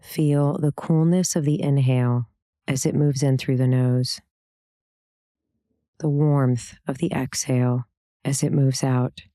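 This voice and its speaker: Very low-voiced woman guiding meditation. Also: no echo, clean studio sound. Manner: calm, gentle, unhurried instruction with pauses. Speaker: meditation and yoga teacher. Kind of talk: guided meditation, soothing scripted narration.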